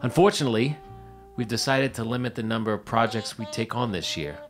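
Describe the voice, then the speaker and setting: An actor plays a dutiful young screenwriter in a radio drama. His voice sits at an average pitch with a dry, resigned manner. Some music plays quietly behind him. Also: a little fast, natural voice. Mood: reading formally. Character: dutiful, put-upon young screenwriter son.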